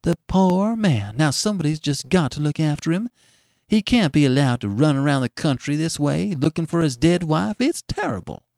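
A fussing, motherly older farm wife, played by a male reader, rural American and folksy. Her pitch sits raised and swoops about as she exclaims. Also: expressive, normal speed, gravelly, darkish tone, no room sound, studio quality. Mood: dismayed. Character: stout, kindly, fussing old farm woman.

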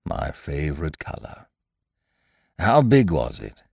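A man's voice: very deep voice, big pitch swings, slow, exaggerated voice. A man voicing a gloomy old donkey in a storybook, mournful, murmuring and resigned. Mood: gloomy resignation.

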